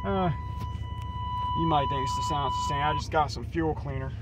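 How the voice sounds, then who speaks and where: A man's voice: moderate pace, loud music behind the voice. A young adult American man chatting casually as a vlogger, rambling and trailing off as he thinks aloud into a handheld phone. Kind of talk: casual rambling vlog chat. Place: mostly inside a van, partly in an empty mall. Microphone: phone at arm's length. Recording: noisy handheld recording with vehicle rumble.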